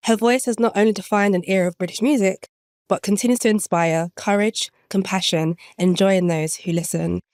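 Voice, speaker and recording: Host: woman, mid pitch, dry close sound, measured formal intro read. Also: steady tone, darkish tone, dry recording. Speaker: podcast co-host.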